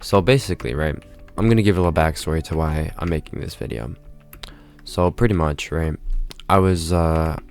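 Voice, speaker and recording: A man's voice: extremely low pitch, moderate pace. A congested man speaking casually to his viewers in a rambling monologue, tagging nearly every phrase with "right?". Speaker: vlogger.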